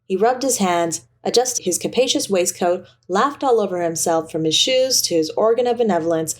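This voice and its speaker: A woman narrates a classic story from a script. Her voice sits at a middle pitch and her reading is expressive and theatrical. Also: moderate pace. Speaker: audiobook narrator.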